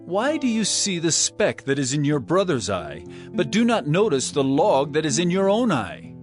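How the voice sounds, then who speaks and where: A man playing an earnest, solemn male teacher with authority in a dramatized scripture reading, speaking in a measured, emphatic way. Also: fairly high pitch, sing-song. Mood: admonishing. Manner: measured, emphatic, rhetorical questioning. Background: music.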